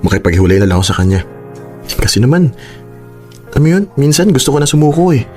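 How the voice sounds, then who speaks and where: A man's voice: medium pitch, sing-song, fast talker, a little mellow, lightly acted. An actor playing a polite, hesitant young male employee in a Filipino radio drama, deferential and earnest. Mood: weary, earnest. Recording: music under the voice. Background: background music.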